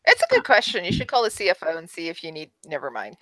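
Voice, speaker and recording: A woman with a bright, sharp voice co-hosts in casual podcast banter. Her pitch swings widely, and the sound is close and dry. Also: huge pitch swings, fast, no room sound, low-quality recording. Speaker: podcast co-host.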